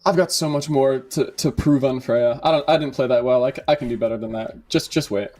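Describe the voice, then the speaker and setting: Male pro gamer as interview guest, medium pitch, casual delivery, soft music behind. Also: brisk. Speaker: esports player being interviewed.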